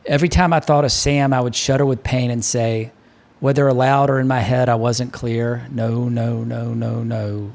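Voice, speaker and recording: Male audiobook narrator, mid-pitched. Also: fairly even intonation, moderate pace, a little mellow. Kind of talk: scripted first-person novel narration.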